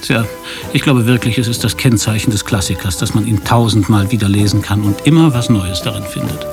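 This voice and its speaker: A man's voice: lowish voice, a little fast. Male radio drama narrator over music.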